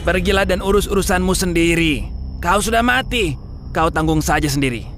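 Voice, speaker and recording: A man's voice: sits high, moderate pace, fairly bright tone, faint music behind. A man playing a stingy, grumpy old businessman in a cartoon story, with a curt, scolding way of talking. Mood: defiant. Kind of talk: dramatised children's story dialogue.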